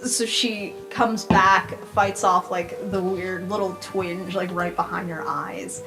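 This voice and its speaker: A woman's voice: fairly low pitch, steady tone, slow, poor audio. A woman playing a tabletop roleplaying game, improvising narration of what her character does. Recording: music under the voice. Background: music.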